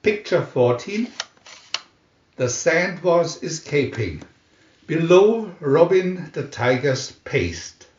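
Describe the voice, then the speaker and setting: A man narrating a scripted storybook in a deliberate, theatrical announcing manner. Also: on the high side, very animated, a little mellow. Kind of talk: dramatic scripted storybook reading. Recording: dull lo-fi, roomy echo.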